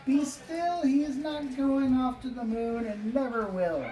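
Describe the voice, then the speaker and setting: A woman's voice: high voice, unhurried. A woman voicing a stern, scornful, exasperated male schoolteacher from a storybook, with a sneering, scolding delivery. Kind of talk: storybook character reading. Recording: echoey, distant, faint background noise.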